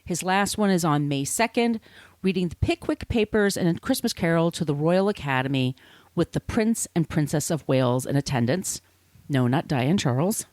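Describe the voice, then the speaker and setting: Lively, irreverent woman podcast host. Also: sits low, normal speed, no room sound. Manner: irreverent, casually sweary. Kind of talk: conversational storytelling from researched notes.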